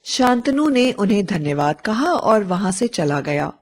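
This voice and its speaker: Female storyteller, scripted tale narration, medium pitch, slow pace. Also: big pitch swings.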